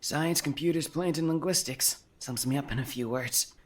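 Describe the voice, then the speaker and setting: A man voicing a clever, self-assured inventor in an audiobook, talking in a brisk, boastful, explanatory way. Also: on the high side, pitched above the performer's own voice, close to an everyday voice. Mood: proud.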